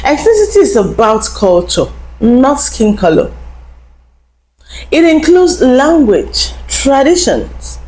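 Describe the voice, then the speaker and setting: A woman's voice: high voice, very animated, slow pace, darkish tone, low-quality recording. A woman hosting a talk show, explaining to viewers in a lecturing way and asking rhetorical questions. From Africa. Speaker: host.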